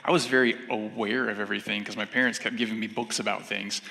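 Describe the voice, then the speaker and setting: A man's voice: on the low side, fairly even intonation, fast talker, vocal fry, pushed and bright. A man in his thirties with an American accent, giving informal personal testimony as a seminar co-presenter. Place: on stage in an auditorium, seated at a high table. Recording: echoey hall, stage sound.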